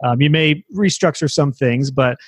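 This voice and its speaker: A man's voice: mid-range voice, moderate pace, slightly rough, no echo, clean studio sound. A man who teaches web design courses, talking casually in a podcast conversation and explaining at length with fillers and restarts.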